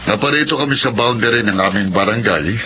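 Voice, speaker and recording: A determined village captain in a Filipino horror radio play. He speaks formally and with authority in a low, hard-edged voice. The lo-fi recording has noise behind it. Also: a little fast, pushed and bright. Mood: stern, urgent. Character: stern, determined male village captain hunting monsters.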